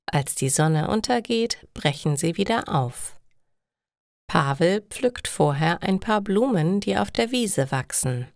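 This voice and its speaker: A woman's voice: lowish voice, expressive, slow pace, gravelly, no echo, studio quality. A woman reads simple scripted stories for language learners in careful, separated phrases. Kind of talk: scripted storytelling narration for learners.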